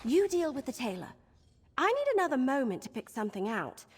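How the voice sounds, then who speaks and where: An actress playing a brisk, determined adventurer companion in a video game, purposeful and friendly as she gives directions. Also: high-pitched, very animated, a little hiss or hum.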